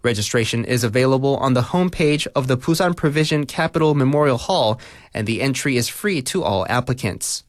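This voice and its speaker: A man reading a scripted local news bulletin in a measured, formal way. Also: mid-range voice, lively intonation. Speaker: news reader.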